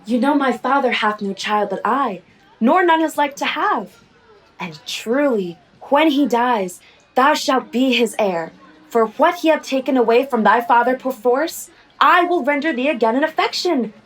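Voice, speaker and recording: A woman's voice: sits high, expressive, measured pace, rough recording. A young woman playing an affectionate, witty duke's daughter, with effusive, playful Shakespearean delivery in a radio play. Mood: tender, earnest. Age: young adult.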